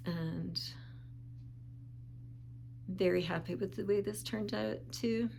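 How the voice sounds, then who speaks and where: Slow, gravelly woman, a little sniffly. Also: medium pitch, a little hiss or hum. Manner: calm, unhurried, with small pauses and restarts. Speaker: vlogger. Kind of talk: casual show-and-tell vlog.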